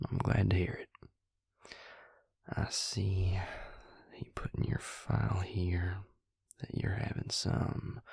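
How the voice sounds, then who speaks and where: A man playing a devious vampire posing as a suave dentist in an intimate audio roleplay, talking softly and reassuringly to the listener in a breathy, gravelly half-whisper. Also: low-pitched, dry recording, clean studio sound, full character voice. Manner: soft-spoken, slow, reassuring bedside patter. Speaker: dentist character. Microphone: close, dry microphone.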